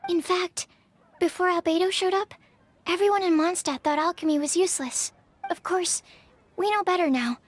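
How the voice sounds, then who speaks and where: A woman's voice: very high voice, moderate pace. A woman playing a polite, earnest young alchemist in a video game, explaining things precisely and reasonably. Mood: reasoned. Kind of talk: video game character acting.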